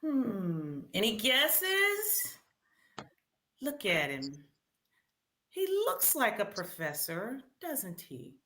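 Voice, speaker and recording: A woman hosting a live read-aloud quiz for children in an animated, encouraging way, calling out to participants and repeating questions. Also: medium pitch, drawn-out words, pauses often.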